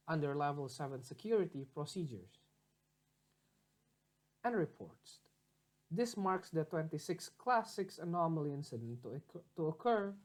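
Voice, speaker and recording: Man reading a formal incident report, lighter pitch. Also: a little mellow. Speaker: narrator reading a case report. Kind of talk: scripted narration of a fictional investigation log.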